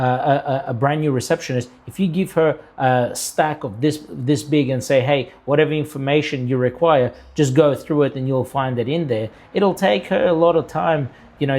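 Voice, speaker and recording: A man in his thirties giving a casual, conversational software tutorial, explaining step by step and often checking in with the listener. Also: medium pitch. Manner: conversational, uses everyday analogies and tag questions like "right?". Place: home room with a window, indoors.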